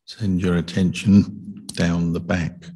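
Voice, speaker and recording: A man leading a guided meditation as a teacher, speaking calmly and gently with deliberate pauses between short phrases. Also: deep voice, husky. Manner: calm, deliberate, gentle instruction with long pauses. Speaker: Buddhist monk meditation teacher.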